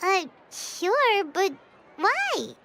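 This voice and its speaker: Game sidekick: tiny inquisitive fairy girl, extremely high squeaky voice, swooping intonation. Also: sing-song, very slow, background noise, full character voice. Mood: puzzled. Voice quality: squeaky, childlike. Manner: naive, inquisitive, speaks in third person. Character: tiny, curious, childlike floating fairy companion girl. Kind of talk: scripted video game voice acting. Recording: clear game music behind the voice.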